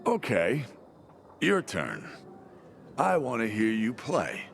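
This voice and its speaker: A man's voice: mid-range voice, huge pitch swings, takes it slowly, creaky, a little hiss or hum, heavily acted. An actor playing a playful young man in a video game, teasing his drinking friends. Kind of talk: video game character acting.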